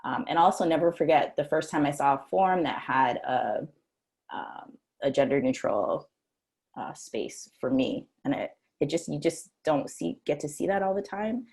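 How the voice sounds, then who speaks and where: Very low-pitched woman panelist, husky voice, halting conversational style, video call. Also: expressive, darkish tone. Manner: conversational, halting, with light laughs. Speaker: policy lead, panelist. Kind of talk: panel discussion.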